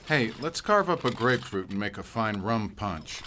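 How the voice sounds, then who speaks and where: A man's voice: lowish voice, animated, moderate pace, bright, forceful tone, a little hiss or hum. An actor playing a drug-addled man in a film drama, talking in a clipped, offhand, faintly paranoid way. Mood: offhand, eager.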